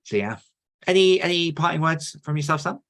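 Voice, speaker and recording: A man's voice: fairly high pitch, lively intonation, fairly quick, fairly bright tone. A man hosting a podcast in a casual, rambling and enthusiastic chatty style. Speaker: podcast host.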